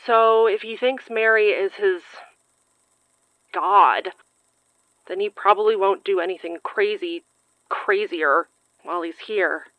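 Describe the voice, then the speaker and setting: The voice is a frayed, worried young woman in a fiction podcast. She is sarcastic and exasperated, with a sharp tongue. The recording is very dry and a little dull. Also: mid-range voice, takes it slowly, pauses often, dry recording. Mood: sarcastic, stressed. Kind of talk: audio drama acting.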